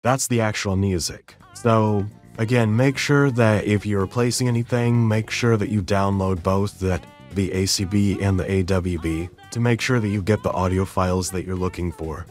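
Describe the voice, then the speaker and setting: Guy with a lowish voice walking you through software steps, casual, music playing behind him. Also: moderate pace, few pauses. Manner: casual, explanatory, instructional. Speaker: tutorial host. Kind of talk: step-by-step software tutorial narration.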